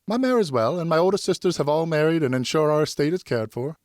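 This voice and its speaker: An elegant young French noblewoman in an audiobook, played by a male narrator. The voice is lifted high and stays close and dry. The talk is graceful, cheerful and lightly teasing, sprinkled with French. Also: animated, fast, a little mellow, no echo, natural voice. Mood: polite, lively. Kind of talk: audiobook character dialogue.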